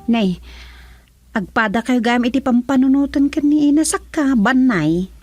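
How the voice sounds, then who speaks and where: Woman acting chatty villager, Filipino accent, higher voice. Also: expressive, moderate pace, darkish tone, faint music behind. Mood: explaining. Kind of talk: Ilocano radio comedy drama acting.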